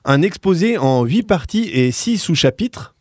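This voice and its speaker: A man presenting music on the radio in casual chat, with a deadpan, dry sense of humor. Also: high voice, unhurried, dry recording.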